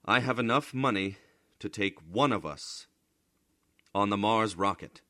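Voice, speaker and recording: A man's voice: fairly low pitch, measured pace, sharp tone, no echo, voice pushed up. Storybook father voiced by a male reader: poor, dreamy, anxious, earnest and dramatic, recorded dry. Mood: tense, insistent.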